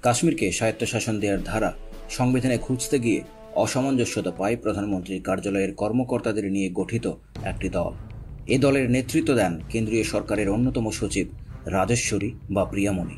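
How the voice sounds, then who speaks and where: A man narrates in a scripted documentary style as a voice-over. His pitch is a little low, and music plays behind him. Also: a little fast, darkish tone. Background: background music.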